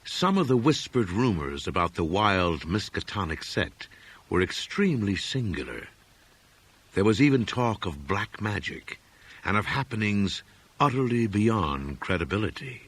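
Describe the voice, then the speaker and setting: Male audiobook narrator for gothic horror: low, husky voice, widely varied intonation, measured dramatic phrasing. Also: sing-song, fairly bright tone.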